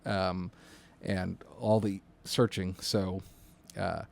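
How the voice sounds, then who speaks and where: A man in casual podcast conversation as a co-host and dispatcher, informal and halting as he thinks aloud. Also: sits low, flat delivery, vocal fry.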